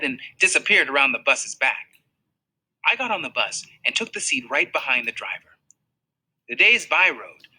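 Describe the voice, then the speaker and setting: Bright, husky man reading a novel aloud. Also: mid-range voice, low-quality recording. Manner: expressive storytelling, voices for characters. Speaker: reader.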